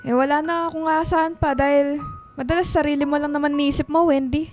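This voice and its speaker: A woman's voice: high voice, fairly even intonation, a little fast, music in the background, lightly acted. An actress playing a demanding, middle-aged Filipino mother who whines and lays on guilt in a radio drama. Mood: bitter, reproachful. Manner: whiny, reproachful. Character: demanding, guilt-tripping mother of grown daughters.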